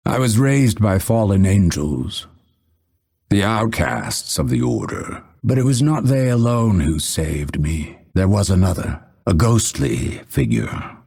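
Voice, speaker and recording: A brooding assassin from a fantasy video game, speaks in a low, husky voice. His delivery is slow and theatrical, full of cold menace and vengeful devotion. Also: darkish tone, exaggerated voice. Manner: measured, theatrical, ominous delivery. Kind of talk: dramatic game character voice lines.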